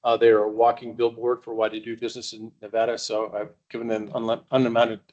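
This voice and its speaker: A man directing a state agency, presenting business at a board meeting. He talks hesitantly and often restarts, at a middle pitch. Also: monotone, quick pace, a little mellow, muffled recording. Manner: hesitant, many fillers and restarts.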